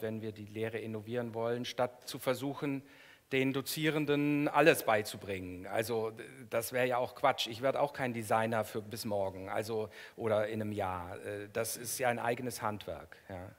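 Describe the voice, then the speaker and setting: A middle-aged man speaks as a lecturer, at an average male pitch. He explains in an academic style, halting and restarting often. The sound carries the long reverb of a hall. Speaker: professor giving a keynote. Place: lecture hall in front of an audience. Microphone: headset microphone.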